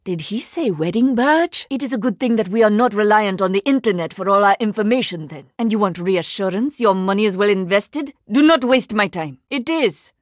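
Game role: Indian-accented female archer-scientist agent, played by an actress, proud, formal, dryly sarcastic; mid-pitched, very dry sound. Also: animated, moderate pace, few pauses, no room sound, natural voice. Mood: dry, sardonic. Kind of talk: video game character voice lines.

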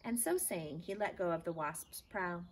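A woman's voice: on the low side, normal speed. A woman reads a children's chapter book aloud expressively, outdoors. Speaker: teacher reading aloud. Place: outdoors in a backyard garden. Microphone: camera a few feet away. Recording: faint outdoor background noise.

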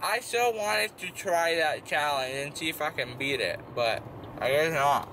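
A man's voice: a little high, lively intonation, measured pace, background noise. A young adult man in a casual vlog, talkative and rambling while drowsy after dental surgery. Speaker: vlogger. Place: car, small house rooms and bedroom. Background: music.

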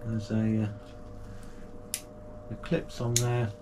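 A man's voice: fairly low pitch, completely flat delivery, slow, noisy background. Chatty man unboxing at home. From United Kingdom. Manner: chatty and enthusiastic, with frequent fillers and restarts. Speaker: kayaking channel host. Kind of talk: casual product unboxing. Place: small home gaming room, indoors.

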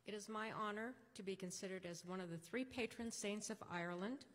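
Woman playing a humble Irish saint, soft and measured, mid-pitch, echoey sanctuary. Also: natural voice. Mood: reverent. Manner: soft, measured, reverent recitation. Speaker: lay reader in costume. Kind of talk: first-person dramatic monologue. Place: church sanctuary at a lectern. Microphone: lectern microphone. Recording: echoey church hall.

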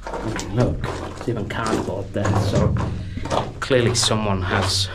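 A man's voice: fairly low pitch, slow. A man travel vlogging as he explores an abandoned coastal military base in the heat, chatty and exclamatory, talking into a handheld camera. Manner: chatty, exclamatory, nervously excited. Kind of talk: casual walking commentary. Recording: outdoor noise with handling clicks.

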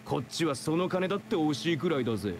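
An actor voicing a rough, greedy young male thug in an anime-style game, brusque and scornful. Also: a little high, animated, quick pace, slight background noise. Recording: game music behind.

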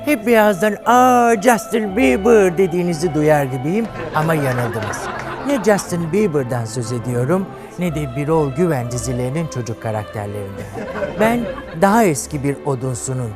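A man's voice: a little high, expressive, normal speed, crowd noise. A man hosting a comedy show, telling a bawdy fairy tale in a campy, flamboyant way. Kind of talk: bawdy comic storytelling to a studio audience. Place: TV studio with live audience. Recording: studio audience laughter and music behind.

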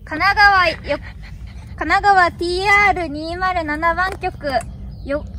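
A woman's voice: very high voice, fairly even intonation, slow, bright, forceful tone, slight background noise. A woman in her twenties making polite, formally worded radio contact calls outdoors. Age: 20s. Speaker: amateur radio operator. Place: outdoors on a hillside roadside.

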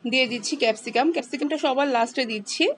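A woman, calmly walking through a home cooking recipe step by step as the channel's host. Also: high voice, racing, slight background noise. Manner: calm, instructive, explains each step.

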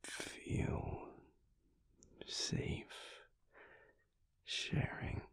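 A young man playing a big, bashful, affectionate werewolf boyfriend in an intimate audio roleplay, speaking softly and haltingly in a husky near-whisper. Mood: tender, earnest. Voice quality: breathy, husky, gravelly. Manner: halting, soft, hesitant with small chuckles.

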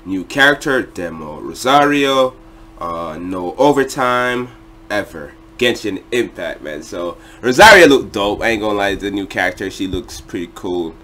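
A man's voice: fairly low pitch, lively intonation, moderate pace, sharp tone. A young man hosting a casual reaction video in a slangy, laid-back way from a small room. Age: young adult.

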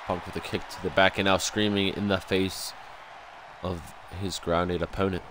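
A young man with a stuffy, congested nose calls a wrestling video game from home, bursting into excited shouts at big moves. His voice sits a little low, and a faint hiss runs under the desk-mic recording. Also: moderate pace. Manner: excitable, bursts of loud exclamations. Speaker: gameplay commentator.

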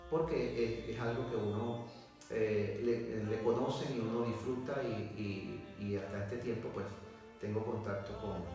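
Venezuelan pastor, 40s, low-ish voice, hesitant measured delivery; roomy echo, heard from afar, music underneath. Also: male voice. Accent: Venezuelan Spanish. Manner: measured, hesitant with many fillers, gesturing. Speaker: pastor and missionary, former doctor. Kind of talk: testimony interview. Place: indoor room, seated on sofa. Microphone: far camera. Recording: echoey room from a distance, music behind.

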